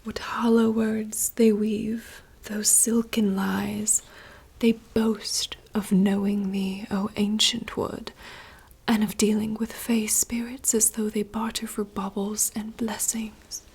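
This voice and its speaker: A woman's voice: mid-range voice, level tone, husky, heavily acted. Woman whispering as a wistful young princess. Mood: yearning. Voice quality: soft unvoiced whisper. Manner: poetic, yearning, slow. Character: wistful young princess longing for wild woods. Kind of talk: whispered monologue reading.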